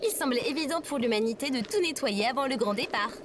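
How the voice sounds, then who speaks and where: A woman's voice: sits high, lively intonation, fast, background noise. A woman playing a sarcastic, chirpy female AI companion, formal, precise and playfully bossy, in an acted audio comedy. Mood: matter-of-fact.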